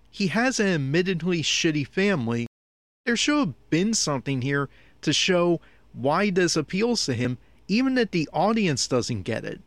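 Higher-voiced man, critical commentary. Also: dry recording. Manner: critical, nitpicky, exasperated complaining. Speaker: podcast host giving episode commentary. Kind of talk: opinionated review commentary.

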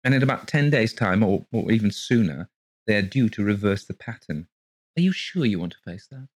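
A man's voice: fairly low pitch, animated, fairly quick, breathy edge, no echo. A man voicing a polite, hesitant young mother who is letting out rooms. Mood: flustered.

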